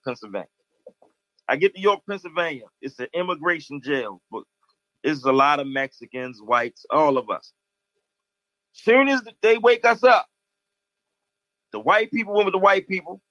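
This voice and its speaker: A man's voice: mid-range voice, a bit bright, no echo. A man in casual chat, telling a story in a loose, conversational way. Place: studio in Baltimore. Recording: dull lo-fi sound, dry.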